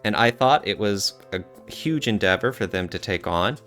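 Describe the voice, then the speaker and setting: A man's voice: lowish voice, animated, normal speed, a bit bright, faint music behind. A man co-hosting a podcast, talking casually in an earnest, reflective way with some hedging. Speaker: podcast co-host.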